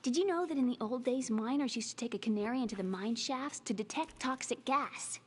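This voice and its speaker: A woman's voice: on the high side, brisk, breathy edge. An actress voicing an earnest, lonely young female computer expert in a video game, explaining things carefully. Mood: defensive, wistful. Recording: noisy game audio capture.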